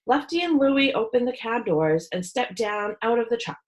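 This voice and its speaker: A woman reading a children's book aloud as a storyteller, with expressive narration. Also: fairly high pitch, moderate pace, rough recording.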